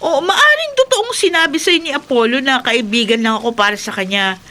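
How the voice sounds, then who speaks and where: A woman's voice: sits high, animated, fairly quick, bright, forceful tone. A woman playing a lovesick, insistent young Filipina in a melodramatic, pleading horror radio drama style. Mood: lovestruck, stubborn.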